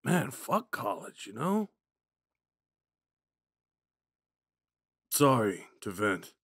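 Man acting a slow-talking drunk himbo jock. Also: medium pitch, big pitch swings, vocal fry, fairly bright tone, breathy edge, no room sound, clean studio sound, exaggerated voice. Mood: venting, wistful. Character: drunk, dim, sweet young male college jock. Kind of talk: ASMR audio roleplay.

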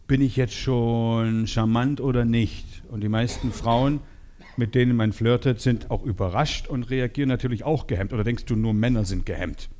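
A man in his forties working as a sales trainer, talking to seminar participants in an animated, teasing way and acting out bits of dialogue. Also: medium pitch. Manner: animated, teasing, role-plays short dialogues. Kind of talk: lively seminar talk to participants. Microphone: headset.

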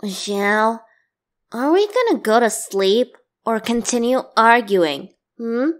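A woman's voice: a little high, animated, slow pace. A woman voicing a playful, clingy boyish god and bard in a boyfriend ASMR roleplay, teasing and theatrical.

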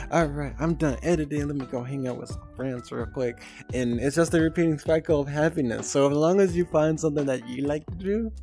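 A young woman streaming from home, chatting casually in a rambling, giggly way and swearing freely. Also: deep voice. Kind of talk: casual live-stream chat. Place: streaming at home, VR avatar on screen. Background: soft background music.

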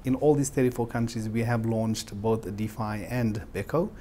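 A man's voice: on the low side, normal speed, dark tone, slight background noise. A South African man in his forties answering interview questions as a marketing director. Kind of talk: on-camera interview. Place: office, indoors.

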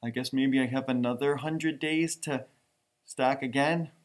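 A man's voice: medium pitch, steady tone, unhurried. A man in his thirties reading aloud expressively for children, with chatty asides and musing exclamations. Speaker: teacher, storytime host. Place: indoors, school library.

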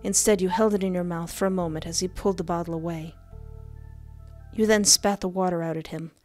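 A woman's voice: fairly low pitch, steady tone, brisk, darkish tone. A young woman reading a visual novel aloud and reacting with cheeky, sassy commentary. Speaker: streamer, narrator. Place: indoors at a desk. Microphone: headset and close desk microphone. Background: faint game music.

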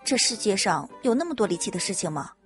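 A woman's voice: mid-range voice, big pitch swings, fast talker, soft background music, close to an everyday voice. A woman voicing a dry, skeptical young female forensic doctor with curt replies in an audio drama. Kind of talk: multi-cast web audio drama.

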